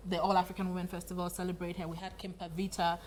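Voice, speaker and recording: A woman's voice: fairly low pitch, flat delivery, quick pace, a little mellow, slight background noise. A woman with a Ghanaian accent giving a TV interview as an event coordinator, speaking in an enthusiastic, informal way. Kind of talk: morning TV interview. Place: TV studio set.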